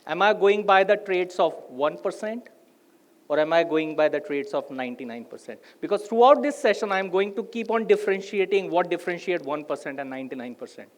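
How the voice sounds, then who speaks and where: A man with an Indian English accent delivers a live motivational talk, his voice sitting noticeably high for a man. He is a native Hindi speaker and keeps turning to the crowd with rhetorical questions. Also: lively intonation, brisk. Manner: addresses the audience directly with rhetorical questions.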